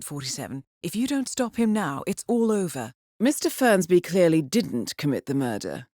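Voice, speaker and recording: Composed, expressive female spy handler, acted by a woman. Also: medium pitch, dry recording, studio quality, lightly acted. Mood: focused, alert, then composed, businesslike. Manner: measured, formal, coolly understated. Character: composed female intelligence handler briefing an assassin. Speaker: mission handler. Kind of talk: scripted video game voice acting, mission briefing.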